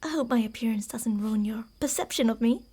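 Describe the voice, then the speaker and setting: A woman playing a calm, possessive fox spirit. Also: fairly high pitch, fairly quick, slightly rough. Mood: devoted. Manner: calm, formal and quietly manipulative; soft-spoken, dipping into whispers. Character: obsessive female fox spirit in a borrowed body. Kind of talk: ASMR roleplay.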